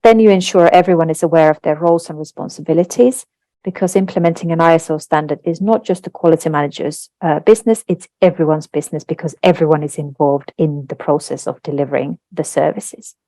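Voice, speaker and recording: Low-voiced Finnish woman presenting a webinar. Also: fast talker, warm tone, no echo. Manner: explanatory, with frequent fillers and tag questions. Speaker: webinar presenter on translation standards.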